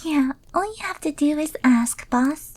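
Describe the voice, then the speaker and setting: A woman playing a clingy, devoted young yandere maid in an ASMR roleplay, speaking softly and often slipping into a pleading whisper. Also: high-pitched, lively intonation, unhurried, slight background noise. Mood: eager, submissive. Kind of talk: breathy ASMR roleplay acting.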